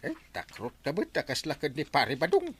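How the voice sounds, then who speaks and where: An actor with an Ilocano accent playing a boastful, jolly village captain in a radio comedy drama, exclaiming and chuckling. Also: male voice, a little high, huge pitch swings, moderate pace, breathy edge, full character voice.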